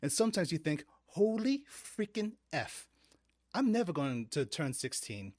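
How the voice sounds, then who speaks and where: A man reading aloud as an excited teenage girl narrator, haltingly trying a British accent. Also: on the high side, no echo, clean studio sound. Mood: eager. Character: excited teenage girl telling her story.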